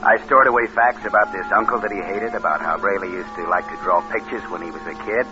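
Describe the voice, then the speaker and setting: An actor playing a scheming young drifter, sly and lazy, in a mid-century radio crime drama. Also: male voice, low-pitched, quick pace, music in the background, close to an everyday voice. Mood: sly narrating. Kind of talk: 1950s radio crime drama, first-person narration. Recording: muffled old radio recording.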